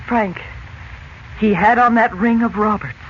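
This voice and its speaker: A woman's voice: mid-range voice, unhurried, close to an everyday voice. An actress playing an anxious middle-aged mother in a 1940s radio drama, distressed over her missing husband. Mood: anxious, upset. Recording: muffled, faintly noisy 1940s radio recording.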